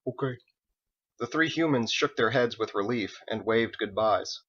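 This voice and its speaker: A man reading his own fantasy novel aloud as an expressive, scripted audiobook narration. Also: fairly low pitch, normal speed, lo-fi sound.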